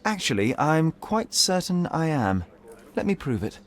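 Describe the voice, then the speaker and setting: An actor playing a cool, self-assured young detective in a video game, speaking in a clipped, formal, composed way. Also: male voice, a little high, very animated, unhurried. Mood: brisk, confident. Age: young adult. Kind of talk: video game cutscene acting.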